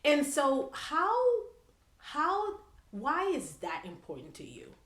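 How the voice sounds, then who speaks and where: A woman's voice: sits high, wildly swooping pitch, slow pace, a bit bright. A woman hosting a casual conversational interview, often chiming in with affirming interjections. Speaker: podcast host. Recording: echoey room.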